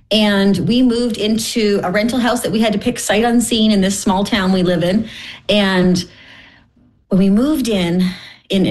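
A middle-aged woman from Canada telling personal stories as an interview guest, candid and gently self-deprecating. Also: medium pitch, low-quality recording. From Winnipeg, Canada. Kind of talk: personal storytelling in conversation.

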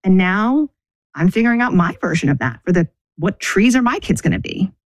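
A middle-aged woman from the United States, talking thoughtfully about her upbringing as an interview guest, pausing and restarting as she thinks. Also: mid-range voice, animated, dry recording. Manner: thoughtful, measured, restarts mid-sentence. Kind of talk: reflective personal interview.

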